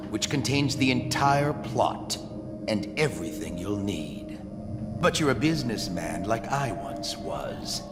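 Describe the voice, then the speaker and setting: An actor playing a bitter, scheming former businessman who speaks in a persuasive, resentful way, in a game. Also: medium pitch. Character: bitter, scheming ruined businessman seeking revenge. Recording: reverb with loud game music.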